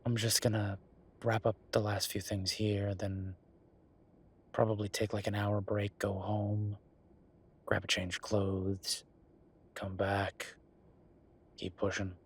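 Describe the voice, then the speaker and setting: A man playing an easygoing, affectionate werewolf bureaucrat in an intimate boyfriend roleplay audio, talking casually and haltingly with a husky voice. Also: lowish voice, flat delivery, full character voice. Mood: weary, reassuring.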